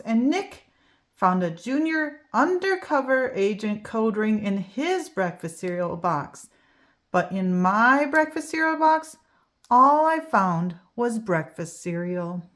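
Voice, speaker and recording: A woman reading a children's picture book aloud expressively, drawing out key words for emphasis. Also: fairly high pitch. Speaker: storybook reader.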